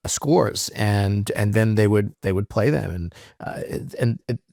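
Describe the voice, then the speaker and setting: A man's voice: lowish voice, a little slow, gravelly, a little mellow, no echo, clean studio sound. A man guest speaking on a podcast, explaining ideas thoughtfully with frequent "um" and "right?". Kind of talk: reflective interview talk.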